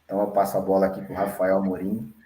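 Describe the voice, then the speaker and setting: A Brazilian man working as a data engineer, introducing an online class and himself at an unhurried pace. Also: low-pitched, monotone, dark tone, reverberant, low-quality recording. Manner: unhurried, frequent tag questions.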